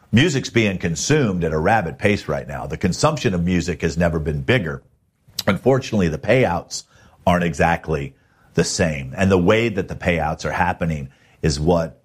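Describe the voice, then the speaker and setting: Male podcast host, very low register, husky tone. Emphatic, plain-spoken motivational advice. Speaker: podcast host, music manager.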